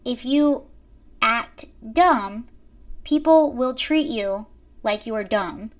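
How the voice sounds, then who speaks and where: A young woman with a high-pitched voice giving emphatic commentary straight to her viewers. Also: animated, very slow. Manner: emphatic, lecturing, addresses viewers directly. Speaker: commentary host. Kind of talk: reaction commentary to camera.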